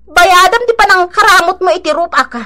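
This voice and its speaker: Shrill, high-pitched gossipy village woman. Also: normal speed, pushed and bright. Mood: furious. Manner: shrill, defensive, theatrical. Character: gossipy, combative village woman, quarrelsome wife. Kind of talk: Ilocano radio drama acting.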